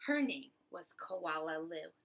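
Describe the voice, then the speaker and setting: A woman reads a children's storybook aloud at a slow, deliberate pace. Her voice is bright with a creaky edge, and she phrases expressively for young listeners, like a teacher. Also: low voice, lively intonation, a little hiss or hum. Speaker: teacher reading a picture book aloud.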